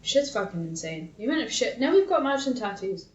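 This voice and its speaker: A woman's voice: a little high, brisk. A woman co-hosting a podcast in casual, candid chat, swearing freely and acting out her own thoughts as she tells stories. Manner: sweary, animated storytelling with quoted self-talk. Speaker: podcast co-host. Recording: echoey room, dull muffled sound.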